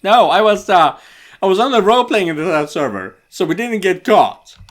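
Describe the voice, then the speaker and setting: A man voicing a frantic, whiny, lustful young man in a comedic animated story, pleading and yelling excitably. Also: sits high, slow pace, pitched above the performer's own voice, heavily acted. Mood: flustered, defensive.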